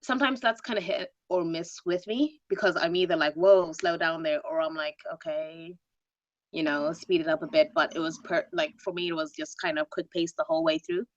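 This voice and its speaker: A woman chats about a book in a fairly low voice, acting out little reactions as she talks. The audio is dull and lo-fi. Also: fairly even intonation, moderate pace. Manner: chatty, acts out reactions in quoted asides. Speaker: book club panelist. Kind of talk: casual discussion.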